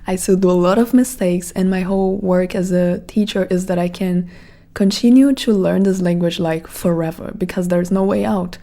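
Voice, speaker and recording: Brazilian woman with a mellow voice musing aloud. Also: lowish voice, steady tone, normal speed. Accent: Brazilian Portuguese. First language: Portuguese. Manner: reflective, rambling with many "like" fillers. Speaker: podcast host. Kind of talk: casual monologue.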